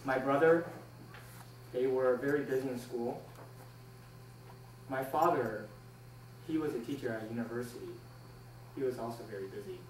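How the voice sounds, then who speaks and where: A young man originally from Taiwan gives a prepared personal speech in a deliberate, measured way with pauses. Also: mid-range voice. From born in Taiwan, moved to the United States at six. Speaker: speech club member giving a speech. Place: indoor room, recorded from a distance. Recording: roomy, distant mic with faint noise.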